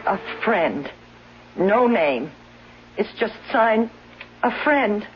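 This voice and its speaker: A woman's voice: medium pitch, very animated, drawn-out words, over loud music. A woman acting a fearful, clinging invalid wife in a 1970s radio drama, pleading plaintively and emotionally. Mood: guarded suspicion. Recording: noisy dull vintage radio broadcast.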